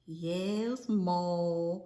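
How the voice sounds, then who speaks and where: A woman voicing an eager, excitable young boy armadillo from a storybook, with folksy cowboy phrasing. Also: on the low side, very slow, echoey room, pitched below the performer's own voice. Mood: meek.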